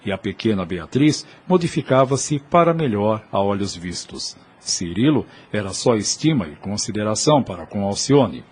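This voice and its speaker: Husky Brazilian male narrator. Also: fairly low pitch, animated, moderate pace, few pauses. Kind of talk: scripted narration of audio drama.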